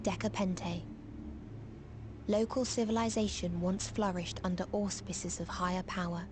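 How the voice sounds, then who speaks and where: A woman's voice: fairly low pitch, fairly even intonation, a little slow, noisy background, lightly acted. A woman playing a young otherworldly messenger creature in a video game, reciting grim reports in a detached, clipped, solemn manner. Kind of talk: video game cutscene acting, formal report. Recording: loud music under the voice. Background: loud music.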